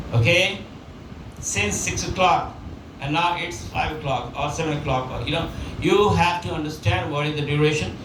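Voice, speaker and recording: A man teaching prepositions, repeating example sentences and using many fillers. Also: fairly high pitch. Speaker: English teacher. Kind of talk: spoken English lesson. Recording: echoey room, mic at a distance, background noise.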